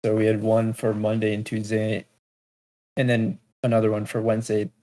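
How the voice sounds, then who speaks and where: A man's voice: on the low side, monotone, normal speed, dark tone. A young man co-hosting a podcast, telling stories in a laid-back, slangy manner. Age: young adult. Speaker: podcast co-host. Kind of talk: casual chat.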